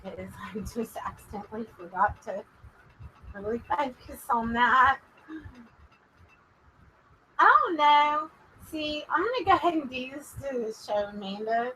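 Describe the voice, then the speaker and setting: A woman with a strong Texas drawl, talking slowly and loosely in a clear, bright, slightly raised voice. She rambles in a friendly, chatty way and speaks straight to her viewers as she hosts a psychic livestream. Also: a little high, animated, a little hiss or hum, low-quality recording. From Texas, USA. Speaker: psychic medium livestream host. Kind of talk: casual livestream chat with viewers.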